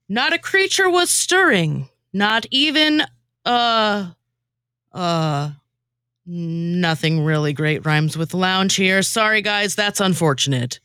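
Unhurried woman, dry close recording. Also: mid-range voice, a bit bright, smooth, dry recording. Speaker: former podcast co-host, guest writer and narrator. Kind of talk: casual banter and comic storybook narration.

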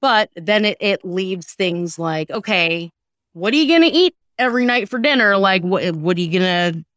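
A woman's voice: medium pitch, animated, moderate pace, a bit bright, no room sound, clean studio sound. A woman hosting a comedy podcast, explaining casually with nerdy enthusiasm and self-interruptions.

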